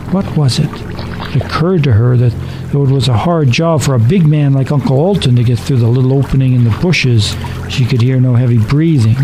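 A man's voice: on the high side, rough recording. A man reading a scripted story as a dramatic narrator.

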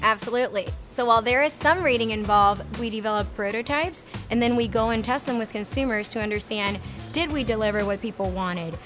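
A woman in her thirties from Puerto Rico, an engineer answering interview questions with clear, earnest explanations, recorded on an earbud mic in a lab storeroom. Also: on the high side, brisk. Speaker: research and development engineer. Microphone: earbud headset mic. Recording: music bed underneath.

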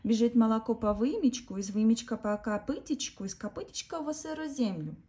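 A loving mother goat from a children's tale, voiced by a woman. She draws out her words in a slow, lilting chant, pitched a little above the storyteller's usual high voice. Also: expressive, mellow, lightly acted. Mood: tender sing-song. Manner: sing-song chanting, drawn-out. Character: caring mother goat, tender then grieving.

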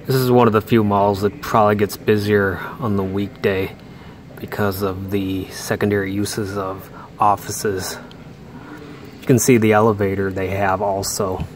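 A man narrating a walking tour through a quiet indoor mall while filming, talking in a relaxed way with pauses. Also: lowish voice, a little hiss or hum. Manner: relaxed, unhurried commentary with pauses. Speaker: mall explorer vlogger. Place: large indoor mall, mostly empty.

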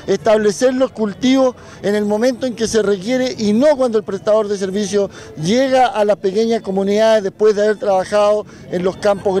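High mellow Chilean man, enthusiastic. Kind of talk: on-camera interview. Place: outdoor fair. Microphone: handheld news mics.

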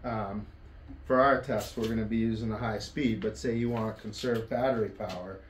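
A man's voice: fairly low pitch, steady tone, moderate pace. A middle-aged man with a North American accent giving a casual hands-on tool review in an offhand, plain-spoken way. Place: indoor wooden workshop. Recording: echoey room, faint background noise.

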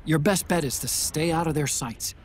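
An actor playing a nerdy engineer giving a technical mission briefing over a radio link in a video game. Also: male voice, a little high, normal speed. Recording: game audio with steady noise.

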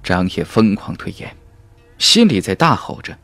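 A man's voice: on the low side, very animated, measured pace, fairly bright tone, no room sound, faint music behind. A man reading a fantasy novel as an audiobook narrator in an emphatic, suspenseful, dramatic style.

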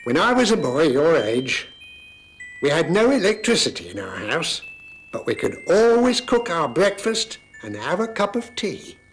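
Kindly old grandad, patient, explaining, lilting, soft music under. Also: male voice, a little high, very animated, measured pace, poor audio. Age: older. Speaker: grandfather who does magic. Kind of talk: children's TV drama acting. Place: kitchen set, indoors.

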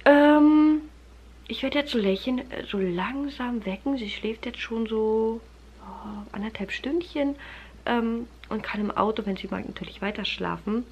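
A woman's voice: a little high, a little slow, a little mellow, a little hiss or hum. A woman in her thirties with a stuffy nose from a cold, chatting casually to the camera in her living room, full of fillers and friendly asides to viewers. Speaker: family vlogger. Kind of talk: casual vlog chat to camera. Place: living room at home, indoors. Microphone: camera held close at arm's length.

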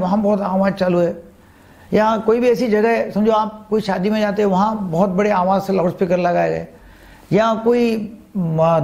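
A man's voice: high-pitched, gravelly, darkish tone. A man explains health matters to camera as a doctor, emphatically repeating key phrases and mixing in English terms. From India. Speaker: psychiatrist giving health advice. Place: indoors, seated before a green screen. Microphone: lapel mic.

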